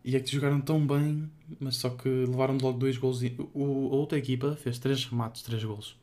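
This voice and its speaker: Young Portuguese man, flat-pitched casual chat. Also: a little fast. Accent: European Portuguese. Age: young adult. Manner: slangy, informal. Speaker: podcast co-host.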